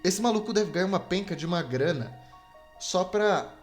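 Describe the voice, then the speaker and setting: A man's voice: sits high, lively intonation, moderate pace. Slangy young Brazilian man over game music. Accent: Brazilian Portuguese. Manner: slangy, self-deprecating. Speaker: podcast host. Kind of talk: casual solo podcast rambling. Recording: video game music behind the voice. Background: Super Nintendo game music.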